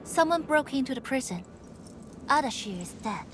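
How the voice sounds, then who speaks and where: A woman's voice: high-pitched, lively intonation, moderate pace, background noise. A woman playing a brusque, exasperated game heroine who scolds while hiding her concern. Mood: alarmed. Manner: haughty, exasperated, sighing. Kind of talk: video game voice acting.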